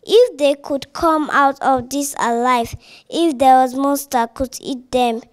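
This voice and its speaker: A woman reading a children's story aloud in a deliberate, slightly halting way. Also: high voice. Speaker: reader.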